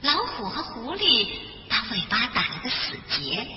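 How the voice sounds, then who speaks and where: A woman narrates a children's tale in a high, lively voice with expressive rises and falls. The sound is slightly muffled and echoing, with music behind. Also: moderate pace. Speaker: children's storyteller. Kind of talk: animated bedtime fable narration. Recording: echoey long reverb, muffled, music behind.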